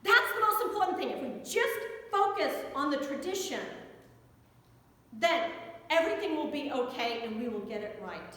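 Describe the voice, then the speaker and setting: High-pitched American woman preaching, very echoey church. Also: lively intonation, bright, forceful tone. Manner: conversational, rhetorical questions, emphatic pauses. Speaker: Episcopal priest preaching. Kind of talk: sermon. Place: church interior. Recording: very echoey church with long reverb.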